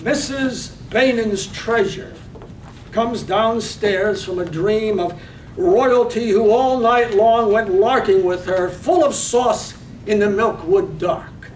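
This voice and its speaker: A storyteller, played by a man, narrates a poetic play in measured phrases. The voice is high for a man and slow. It sounds distant, with room echo. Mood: lyrical, measured. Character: lyrical storyteller of a small village's morning. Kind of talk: poetic scripted narration, read on stage. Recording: echoey room, distant mic, faint noise.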